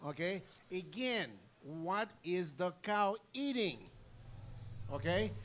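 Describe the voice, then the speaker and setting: Haitian-accented man with a lively patter. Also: high-pitched, slight background noise. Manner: animated, repeats "okay?", laughs often. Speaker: cheese presenter. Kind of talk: casual live tasting talk.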